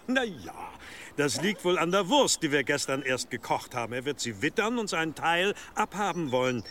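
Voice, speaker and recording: An actor in a German radio drama playing an authoritative, evasive abbot with a formal, unctuous and measured manner. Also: male voice, a little high, big pitch swings, fairly bright tone, slight background noise.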